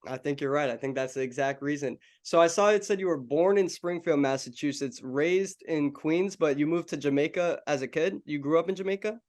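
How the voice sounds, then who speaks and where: A young adult man conducts a sports interview in a friendly, casual way, tossing in "bro" and "man". His voice sits on the higher side for a man. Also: a little fast, few pauses, a little mellow.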